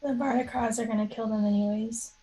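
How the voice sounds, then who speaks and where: Husky-voiced woman at a game table. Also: on the high side, fairly even intonation, brisk, dark tone, poor audio. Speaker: player in a tabletop role-playing game. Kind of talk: casual chat.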